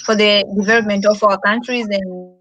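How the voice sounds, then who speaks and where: A woman's voice: medium pitch, fairly even intonation, moderate pace, a bit bright, dry recording, highs cut off. A young woman from Zambia, a student panelist speaking earnestly in an online panel. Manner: earnest, with frequent "like" and "you know".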